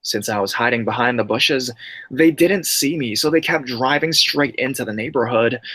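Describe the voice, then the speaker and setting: A man's voice: medium pitch, moderate pace, sharp tone, dry recording. Slangy young man narrating horror stories. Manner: slangy, loose and chatty, calls listeners bro and dude. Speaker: horror story narrator and live streamer. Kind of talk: reading viewer stories, then casual live-stream chat.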